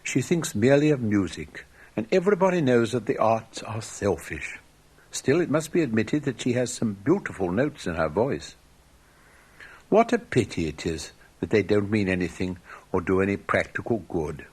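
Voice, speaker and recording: A man's voice: on the low side, lively intonation, moderate pace, a little mellow, slightly rough. A man playing a smug, bookish young student in a storybook, musing aloud in a pompous, dismissive way.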